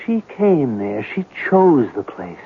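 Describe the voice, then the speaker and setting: An actor playing an intense, self-tormenting writer in an old-time radio drama, theatrical and insistent. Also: male voice, medium pitch, sing-song, slow pace, slightly rough, heavily acted. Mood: frustrated despair. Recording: muffled vintage radio broadcast.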